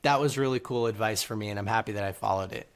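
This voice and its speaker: A man answering casually as an interview guest over a video call, articulate and measured as he explains. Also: fairly low pitch. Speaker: interview guest, author and entrepreneur. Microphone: speaking over a video call.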